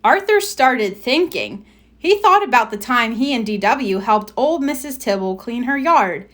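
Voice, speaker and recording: High-voiced, expressive woman storybook reader. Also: a little slow. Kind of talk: children's storybook narration, expressive.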